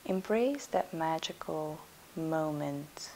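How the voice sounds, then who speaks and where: Woman doing yoga nidra guided meditation, really slow n soothing, bit of hiss in the recording. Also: low voice, animated, darkish tone, poor audio. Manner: soothing, unhurried, instructing with pauses.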